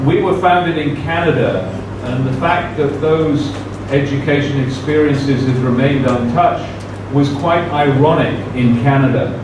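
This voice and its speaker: Man speaking slowly in an echoey hall. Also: mid-range voice. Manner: measured, careful delivery with frequent "uh" fillers. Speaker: guest speaker at a university seminar. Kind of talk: formal conference talk. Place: university seminar room. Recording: very echoey room, distant mic, background noise.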